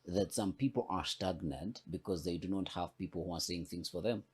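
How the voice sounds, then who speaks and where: A woman's voice: a bass voice, brisk, a little mellow, breathy edge. A woman hosting a podcast interview, asking reflective questions in an affirming manner. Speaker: podcast host. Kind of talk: conversational interview.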